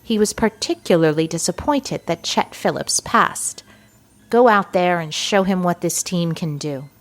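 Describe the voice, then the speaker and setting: A woman's voice: on the low side, unhurried, soft background music, natural voice. A woman playing a stern intelligence section chief with a formal, authoritative manner in an audio drama. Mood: firm.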